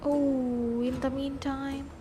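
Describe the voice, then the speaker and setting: A woman's voice: high-pitched, level tone, slow. A woman reading along and reacting as she streams a game.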